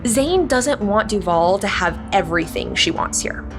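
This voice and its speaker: A woman playing a brisk, commanding official giving orders in an audio drama. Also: medium pitch, expressive, music in the background, natural voice. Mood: brisk, scheming.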